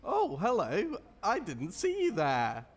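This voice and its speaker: Man voicing a high-pitched, dim sheriff puppet. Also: wildly swooping pitch, slow, full character voice. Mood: oblivious. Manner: oblivious, silly, literal. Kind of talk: comic puppet-show musical performance.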